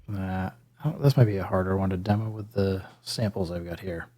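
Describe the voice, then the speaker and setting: A man's voice: fairly even intonation, fairly quick, warm tone. A man hosting a casual hands-on music gear tutorial, thinking aloud in a hesitant, self-deprecating way. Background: synth pad and bass sample demo sounds.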